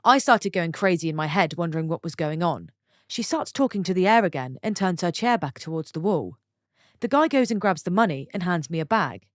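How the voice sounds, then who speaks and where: A woman reading online stories aloud for her channel, stopping for chatty, expressive asides to her viewers. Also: on the low side, a little fast, dry recording, studio quality. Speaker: story channel narrator.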